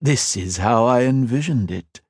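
A gloating, cruel emperor speaks in a raised, grandiose voice, mocking and taunting his captive, as a male audiobook narrator puts him on. His words come loud and lofty, dripping with scorn. The sound is close and dry. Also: medium pitch, very animated, takes it slowly, dry recording, voice pushed up.

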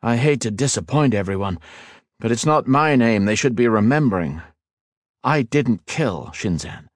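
A man voices a modest, steady young hero in a fantasy audiobook. The voice is pitched somewhat higher than his own and moves animatedly. The recording is dry and close. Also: moderate pace, dry recording, lightly acted. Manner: earnest, modest.

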